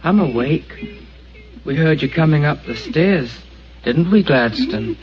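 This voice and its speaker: An actor playing a gentle, childlike young man in an old radio drama, pleading and plaintive. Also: fairly high pitch, sing-song, slow pace. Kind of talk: 1940s radio acting. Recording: dull lo-fi old radio recording.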